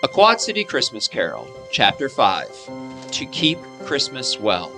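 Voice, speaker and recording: A man's voice: mid-range voice, wildly swooping pitch, a little slow, gravelly, music in the background. A man narrating a scripted radio play with dramatic storytelling delivery.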